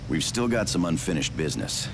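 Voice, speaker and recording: Very deep, gravelly resistance fighter. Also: male voice, big pitch swings, brisk, background noise, full character voice. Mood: grave, urgent. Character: gruff male resistance fighter, grave and urgent. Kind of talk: video game cutscene acting.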